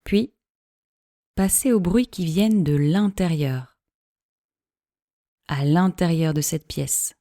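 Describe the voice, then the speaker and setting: A woman teaching yoga nidra, giving calm, measured guided-meditation instructions in a soothing way with long pauses. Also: lowish voice, dry recording, clean studio sound.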